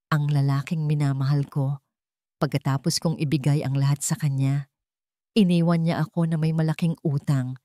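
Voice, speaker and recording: A woman's voice: sits low, steady tone, normal speed, warm tone, dry recording, clean studio sound, lightly acted. A Filipino woman voicing a tearful, frightened young woman betrayed by her lover, in a narrated story. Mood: pleading, distraught. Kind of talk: acted dialogue in story narration.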